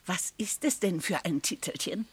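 A woman's voice: on the low side, animated, moderate pace, husky. An actress playing a haughty, title-proud old grandmother in a radio comedy, curt and formal in an old-fashioned way. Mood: graciously impressed. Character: imperious, title-proud old grandmother of a small-town family. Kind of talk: theatrical radio comedy acting.

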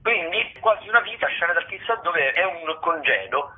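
A man giving a casual radio rundown as a theatre critic, wordy and halting with repetitions. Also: a little high, expressive. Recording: tinny phone line.

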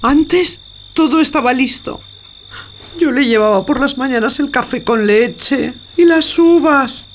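High-voiced, fretful housemaid. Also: female voice, measured pace, slight background noise. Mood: worried, regretful. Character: loyal, fretful housemaid devoted to her master. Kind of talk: theatrical radio drama acting.

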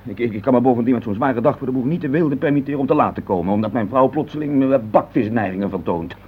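A man's voice: mid-range voice, fast, husky, natural voice. An actor playing an impatient, evasive middle-aged husband and office man in a radio play, grumbling and dismissive. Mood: flustered, impatient. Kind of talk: naturalistic Dutch radio play acting. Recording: muffled old radio play recording.

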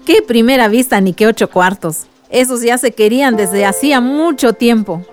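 A woman's voice: fairly high pitch, normal speed, darkish tone, soft background music, lightly acted. A woman playing a wry, romantic village friend, telling a love story in a radio soap opera. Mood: wry, teasing.

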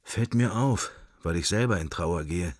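A man's voice: sits low, expressive, a little slow, dry recording, studio quality. An actor playing a middle-aged man musing wryly to himself in clipped, wandering thoughts in a literary radio play.

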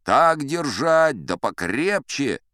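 A man voicing a seasoned, composed sea captain in an audiobook, speaking in a measured, authoritative, drawn-out way. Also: medium pitch, very animated, a bit bright, no echo, studio quality, voice pushed up. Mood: firm.

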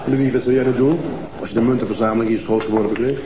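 An actor playing a stern, dry-witted veteran detective who asks clipped, commanding questions. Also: male voice, medium pitch, fairly even intonation, very fast, reverberant, background noise, close to an everyday voice. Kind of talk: TV crime drama acting.

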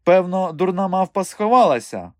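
Man putting on a shrill, imperious circus madam. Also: big pitch swings, normal speed, dry recording, clean studio sound. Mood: concerned. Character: excitable, imperious female circus director, scolding and shrill.

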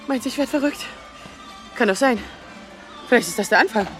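A woman's voice: a little high, brisk, soft background music, close to an everyday voice. An actress playing an anxious, lovelorn woman in her thirties in a naturalistic radio drama, speaking in agitated, self-doubting phrases. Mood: rattled, panicky. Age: 30s.

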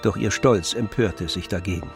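An actor playing the all-knowing narrator of a literary tragedy in a radio drama, telling it in a measured, expressive way. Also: male voice, sits low, vocal fry, soft background music. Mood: grave.

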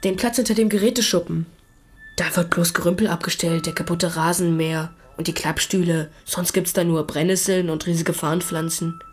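A woman playing a hesitant, secretive schoolboy giving an account in a naturalistic radio drama, halting and defensive. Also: fairly low pitch, steady tone, lightly acted. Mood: hesitant recounting. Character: schoolboy Tobias, hesitant, earnest, secretive witness.

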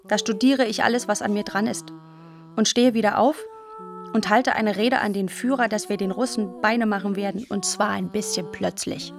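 A woman's voice: medium pitch, steady tone, normal speed, natural voice. A woman playing a defiant young girl recalling the end of the war in a radio play. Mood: matter-of-fact, bold. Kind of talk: dramatised literary reading in a radio play. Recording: violin music under the voice.

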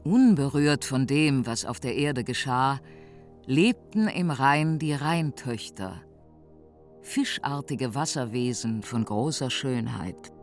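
A woman's voice: very deep voice, lively intonation, exaggerated voice. A woman playing a solemn earth mother goddess who narrates a myth in a German fantasy radio drama. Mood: mysterious. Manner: solemn, measured. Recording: studio narration over music.

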